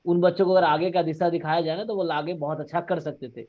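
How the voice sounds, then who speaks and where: Man, interviewer, lively explaining manner, fairly high voice, dull lo-fi video-call audio. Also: fast. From Bihar. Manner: animated, explains in a mix of Hindi and English. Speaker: interviewer and coaching teacher. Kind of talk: casual conversational interview.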